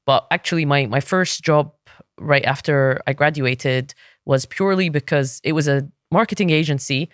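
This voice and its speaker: A woman in her thirties from Egypt, a native Arabic speaker, talking as a podcast guest in a thoughtful, relaxed way with frequent restarts. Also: low-pitched, normal speed, no echo, clean studio sound. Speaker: podcast guest, SEO consultant. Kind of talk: casual interview talk.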